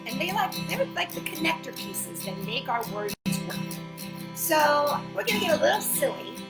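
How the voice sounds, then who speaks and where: A woman hosting storytime, reading a picture book aloud to young children in an animated, playful way with chatty asides. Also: high voice, moderate pace, echoey room, over loud music.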